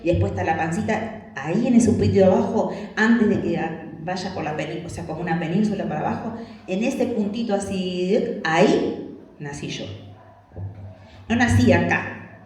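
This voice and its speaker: A woman from Argentina with a Rioplatense accent preaching a sermon in a church, animated and acting out little scenes and prayers in different voices. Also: fairly low pitch, moderate pace, slight background noise. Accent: Rioplatense Spanish. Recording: echoey church hall.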